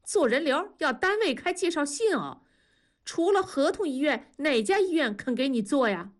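A woman voicing a stubborn, domineering older mother-in-law who talks fast and insists on getting her way. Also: high-pitched, big pitch swings, pitched above the performer's own voice. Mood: smug. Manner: bossy, insistent, quick-tongued.

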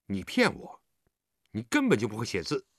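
一名男子在有声故事中扮演一个和善、护着孩子又不失坚定的成年男子，语气循循善诱。. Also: male voice, lowish voice, huge pitch swings, normal speed, creaky, no room sound, studio quality, full character voice. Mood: stern. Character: kind, protective grown man, teasing yet firm.

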